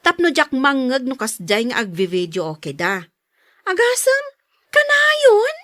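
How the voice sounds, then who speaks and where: A woman's voice: sits high, huge pitch swings, a little slow, fairly bright tone, no echo, studio quality, exaggerated voice. A woman playing a haughty, irritable wife in an Ilocano audio drama, with a scolding, snappish delivery and an Ilocano accent. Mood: irritated. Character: haughty, irritable middle-aged wife who disdains her neighbors.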